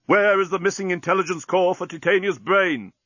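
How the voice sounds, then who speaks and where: A man voicing a shouting starship captain. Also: high voice, a little fast, no echo, dull audio, pitched above the performer's own voice. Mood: demanding. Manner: shouting, demanding. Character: blustering anxious male starship captain.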